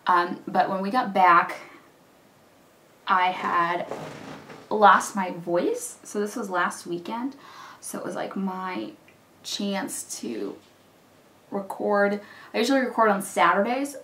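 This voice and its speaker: A woman in her thirties, slightly hoarse from a cold, chatting casually and rambling with lots of fillers while doing her makeup at home. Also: mid-range voice, slow pace, long pauses, fairly bright tone, a little hiss or hum. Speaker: beauty vlogger. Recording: roomy echo, mic at a distance.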